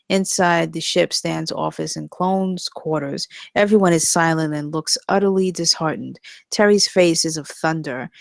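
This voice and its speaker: A woman with a low voice reads out stage directions for a comedy table read. Her scripted narration is recorded dry, without room sound. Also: creaky, no echo.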